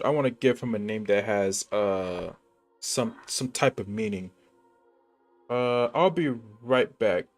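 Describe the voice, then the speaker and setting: A young man streaming a game, chatting casually in a rambling, laid-back way. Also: mid-range voice. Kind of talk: casual gameplay commentary. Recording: stream with faint game music.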